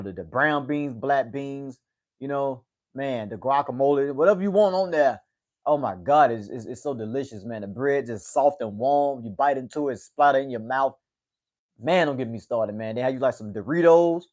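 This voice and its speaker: Young man, casual livestream chat, rambling, repeats himself, mid-pitched voice. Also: darkish tone. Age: 20s. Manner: rambling, repeats words, says "man" a lot. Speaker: livestream host.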